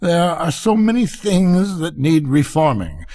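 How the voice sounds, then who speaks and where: A man's voice: on the high side, big pitch swings, a little slow, mellow, voice pushed up. A man voicing a placid, practical female duck in a storybook, with a mild, homely, chatty manner. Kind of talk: theatrical storybook character reading.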